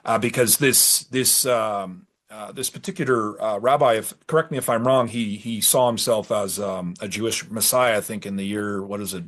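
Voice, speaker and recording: A man's voice: on the low side, fairly even intonation, normal speed, few pauses, a bit bright. A man chatting casually as an interviewer, with a tentative, hesitant and loosely phrased way of talking.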